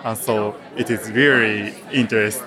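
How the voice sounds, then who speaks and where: A man with a Japanese accent speaks in a low voice and introduces himself in careful, simple sentences. Around him a crowded room murmurs. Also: steady tone, unhurried, a crowd in the background. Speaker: PhD student. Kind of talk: self-introduction in a group round.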